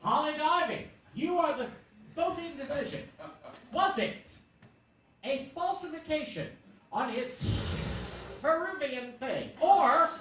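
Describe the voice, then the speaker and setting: A woman's voice: high voice, animated, slow pace, fairly bright tone, exaggerated voice. A woman playing an exuberant, teasing game show host in a theatrical live comedy show. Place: live theatre stage before an audience. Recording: roomy stage recording from far off, faint noise.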